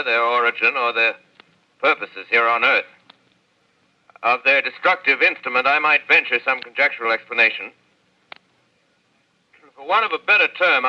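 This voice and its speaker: An actor playing a learned scientist in a 1930s radio drama, speaking in a deliberate, formal way. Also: male voice, medium pitch, pushed and bright. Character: learned measured male professor, scientist. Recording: dull lo-fi old radio recording.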